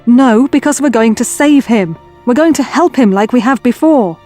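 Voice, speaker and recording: A woman's voice: fairly high pitch, expressive, normal speed, warm tone, faint music behind, lightly acted. A woman playing an anxious, blunt, determined young woman in an audio drama. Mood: urgent, determined. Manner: urgent, questioning, wry.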